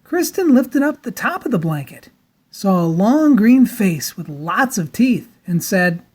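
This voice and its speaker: A woman narrating a children's storybook aloud in a dramatic, theatrical style with deliberate emphasis. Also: medium pitch, sing-song, a little mellow, husky.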